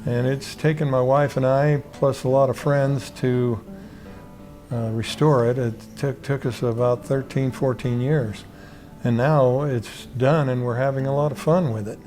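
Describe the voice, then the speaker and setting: A man's voice: mid-range voice, steady tone, unhurried, dark tone, soft background music. A middle-aged man being interviewed, reminiscing casually about his own experiences. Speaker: theater owner being interviewed.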